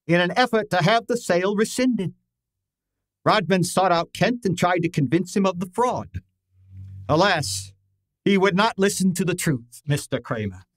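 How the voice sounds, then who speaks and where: A man's voice: high voice, expressive, normal speed, no room sound. A man playing a pompous, exacting private detective in an old-time radio drama, with formal, precise and theatrical diction. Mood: smug, explanatory.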